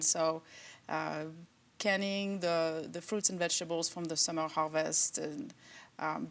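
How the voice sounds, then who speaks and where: A middle-aged woman from France, a professor answering interview questions in a relaxed, thoughtful way with many fillers. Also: lowish voice. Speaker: agriculture professor and department chair.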